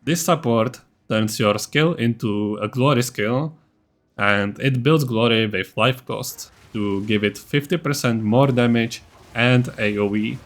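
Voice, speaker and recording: A man giving scripted, explanatory narration for a video game build guide in a methodical way. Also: medium pitch.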